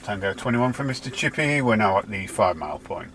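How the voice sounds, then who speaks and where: A British man calling in over CB radio from a moving vehicle, with brisk radio procedure. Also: on the low side, lively intonation. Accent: British English. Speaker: mobile radio tester. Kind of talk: CB radio calls. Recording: radio transmission with loud noise.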